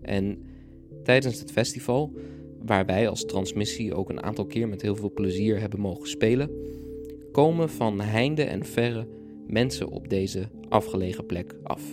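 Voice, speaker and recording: Low-voiced man introducing a podcast. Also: a little slow. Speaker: podcast presenter from a theatre collective. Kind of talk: scripted introduction narration. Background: guitar music.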